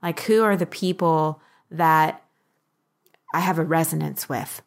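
A woman's voice: low voice, steady tone, unhurried. A woman hosting a coaching podcast, talking in an encouraging, instructive manner as she explains. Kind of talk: life coaching talk, explanatory.

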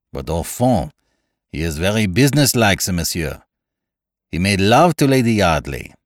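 A man's voice: fairly low pitch, no echo. Fussy little French-accented sleuth played by a male narrator, courtly and self-assured, hugely varied intonation, close dry storybook sound. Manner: courtly, flourishing, emphatic phrasing. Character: small fussy French-speaking detective, cheerful, placid, self-assured.

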